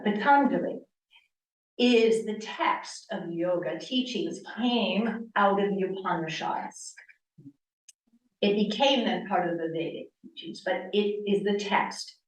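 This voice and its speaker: A woman's voice: medium pitch, roomy sound, recorded from a distance, low-quality recording. A woman in her sixties from Connecticut, a yoga teacher giving an earnest church talk full of rhetorical questions. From Connecticut, US East Coast. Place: church hall.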